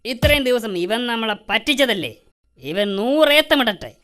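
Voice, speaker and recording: A woman's voice: fairly high pitch, expressive, moderate pace, fairly bright tone. A woman voicing a foolish, pompous, stingy male boss in a children's cartoon, exaggerated and gloating. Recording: music behind the voice.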